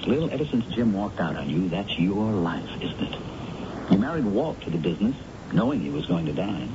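Casting: wounded American male editor, middle-aged, low voice with wide melodic swings, sardonic and lovelorn, vintage radio drama on a noisy recording. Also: very animated, normal speed. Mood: bitter, defeated. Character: bitter, lovelorn, defeated magazine editor. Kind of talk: 1970s radio drama acting. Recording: muffled, noisy old radio broadcast recording.

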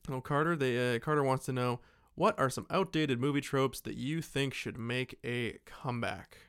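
A man with a medium-pitched voice talks as a podcast co-host in a dry, close recording. His delivery is casual and conversational. He often hesitates as he hunts for the next word. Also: moderate pace, fairly bright tone, clear, resonant tone, dry recording. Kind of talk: casual movie chat.

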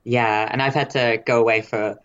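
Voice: medium pitch, steady tone, normal speed, fairly bright tone, lo-fi sound, slight background noise. A co-host of a casual podcast, trading dry, teasing banter, recording on a laptop headset. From Australia. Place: remote farmhouse.

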